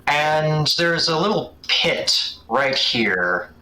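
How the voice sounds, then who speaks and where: A man's voice: a little high, very animated, very slow, sharp tone, roomy sound. A man running a tabletop game as game master, improvising narration in a conversational, searching way.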